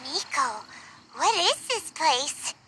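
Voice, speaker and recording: Squeaky tiny excitable fairy girl. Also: slow, noisy background, heavily acted. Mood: wary. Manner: talks of herself in third person, exclaims. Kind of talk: animated game dialogue. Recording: game music behind the voice.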